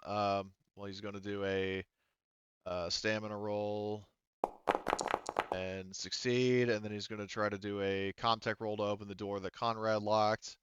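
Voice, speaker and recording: A man's voice: on the low side, fairly even intonation, a little slow, a bit bright, smooth, lo-fi sound. A man narrating a tabletop roleplaying game as game master in a casual way, thinking aloud and swearing freely.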